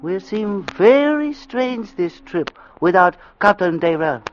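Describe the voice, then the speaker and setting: An actor playing a gentle, sentimental ship's cook who speaks hesitant broken English in an old radio drama. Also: male voice, high voice, big pitch swings, takes it slowly, full character voice. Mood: wistful, musing. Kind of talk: 1940s-50s radio drama acting. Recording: muffled, noisy vintage radio recording.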